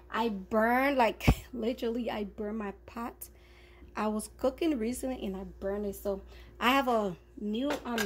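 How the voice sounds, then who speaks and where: An upbeat, chatty woman talks her viewers through a home cooking session. Her voice sits a little high, and she is picked up by a phone camera aimed at the stove. A faint hum from the kitchen sits underneath. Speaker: cooking vlogger. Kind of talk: casual cooking chat.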